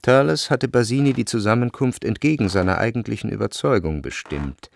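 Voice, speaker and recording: Low, creaky male narrator. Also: a little fast, slight background noise. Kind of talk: scripted literary narration for radio drama. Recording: music behind the voice.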